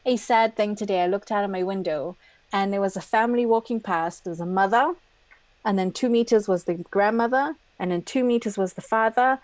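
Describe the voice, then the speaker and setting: A middle-aged woman speaking as a guest in a live online talk, lively and earnest, laughing often. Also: medium pitch, brisk, no echo, rough recording.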